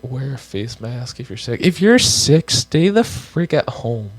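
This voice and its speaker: A man's voice: medium pitch, sing-song, unhurried. Teen guy losing his voice. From United States. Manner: offhand, joking asides, says "like" a lot. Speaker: co-host. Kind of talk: casual chat, reading guidelines aloud.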